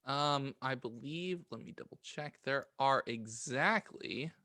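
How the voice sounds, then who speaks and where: A man's voice: medium pitch, slow, sharp tone, no echo, clean studio sound. A man co-hosting a podcast in casual chat. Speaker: podcast co-host.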